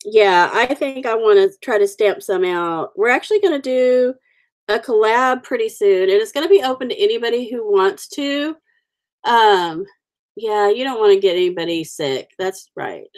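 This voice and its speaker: A woman's voice: mid-range voice, animated, moderate pace, a little mellow. A woman with the sniffles, chatting casually with viewers while coloring stamped cards on a livestream, greeting people by name. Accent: Oklahoma. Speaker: livestream host.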